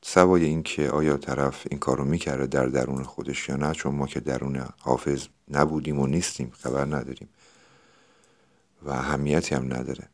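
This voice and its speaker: A man giving reflective spoken commentary as a podcast host, explaining things in a deliberate way. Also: very deep voice, level tone, a little mellow.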